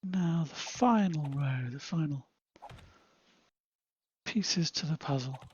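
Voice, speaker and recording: A man voicing a confident jungle man who thinks of himself as British, with a British accent and a husky voice, talking in a chatty, understated way and muttering asides as he plays a game. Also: fairly high pitch, slow pace, highs cut off, a little hiss or hum. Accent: British English. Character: confident jungle man Tarzan turned proper British gent. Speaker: gameplay commentator in character. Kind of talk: in-character gameplay narration.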